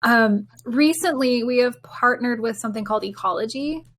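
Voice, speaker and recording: A woman's voice: fairly high pitch, normal speed. A woman co-hosting a podcast, talking casually and informally. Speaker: podcast co-host, game developer.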